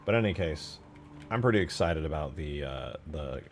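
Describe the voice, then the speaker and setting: Low male voice, lively swings in pitch, casual streamer chat, slangy, thinking aloud. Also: normal speed, a little hiss or hum. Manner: informal, slangy, swears casually. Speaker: game modder streaming their work. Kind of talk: casual livestream chat while working.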